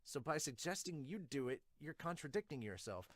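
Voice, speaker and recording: A man voicing a brash, dim-witted, emotional young male soldier in a manga dub, loud and indignant. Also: a little high, sing-song, a little fast, pitched above the performer's own voice.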